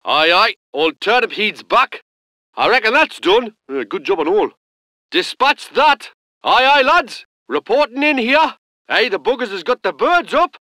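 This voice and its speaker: A gruff, colloquial gunner. The voice sits high and swings widely, with a bright edge. It is recorded dry and close in a studio. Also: male voice, big pitch swings, measured pace, no echo, full character voice. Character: gruff northern British male gun crewman. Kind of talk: video game unit voice lines.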